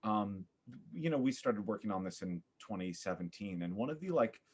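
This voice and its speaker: A man giving a thoughtful interview as a podcast guest, searching for his words with an earnest, halting manner. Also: lowish voice, expressive, darkish tone, slightly rough. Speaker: podcast guest, theater artist.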